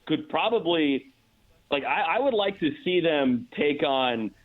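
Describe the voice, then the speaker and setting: A man's voice: on the high side, animated. Man on a thin phone-like line. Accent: American. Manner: halting, repeats words as he thinks aloud. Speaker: radio play-by-play announcer as guest analyst. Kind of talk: live sports radio interview, analysis. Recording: dull, narrow-band phone-like line.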